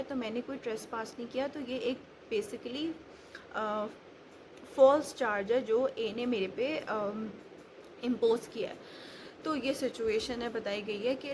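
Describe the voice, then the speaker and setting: A woman giving an explanatory law lecture step by step, mixing in English legal terms. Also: fairly high pitch. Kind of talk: explanatory lecture with worked examples. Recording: clearly noisy recording with background ambience.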